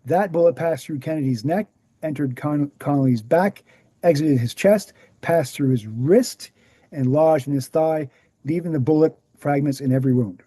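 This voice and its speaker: A man's voice: on the high side, moderate pace, mellow, slightly rough, no echo. A man reading the part of a confident, didactic explainer in scripted dialogue. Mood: explanatory. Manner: didactic, assured. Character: Gary, confident explanatory man debunking assassination myths. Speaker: actor in a screenplay table read.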